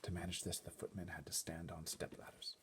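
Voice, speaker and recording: A man reading a children's book aloud in an expressive, often hushed way, adding casual explanatory asides. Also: deep voice, a little fast, background noise. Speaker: storybook reader.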